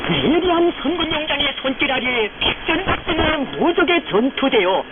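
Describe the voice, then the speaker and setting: A woman with a North Korean accent reads solemn state radio news in a declamatory, fervent, reverent manner. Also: high voice. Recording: noisy shortwave radio, narrow phone-like band.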